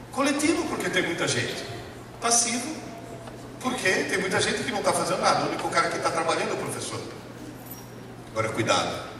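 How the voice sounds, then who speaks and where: A man from Italy with an Italian accent, a professor lecturing emphatically to a live audience and putting rhetorical questions to them. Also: on the high side. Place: large lecture hall. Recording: echoey hall, distant mic, noisy.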